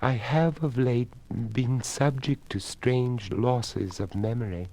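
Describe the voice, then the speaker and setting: A man voicing a lost, frightened young ghost girl who is polite and pleading, speaking softly and haltingly. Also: medium pitch, fairly even intonation, no room sound, voice pushed up. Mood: distressed, pleading.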